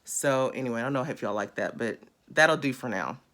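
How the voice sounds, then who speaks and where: A woman in her thirties with a raspy voice, chatting casually while she demonstrates a beauty routine close to a phone camera indoors. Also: very deep voice, animated, brisk, a bit bright. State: raspy after illness. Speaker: beauty vlogger. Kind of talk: casual how-to demo. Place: small indoor room.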